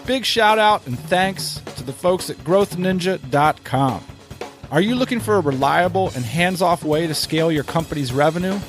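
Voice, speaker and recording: A male podcast host with a fairly high voice delivers prepared, scripted lines. Faint music can be heard along with him. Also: animated, normal speed. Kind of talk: scripted podcast interview questions and sponsor read.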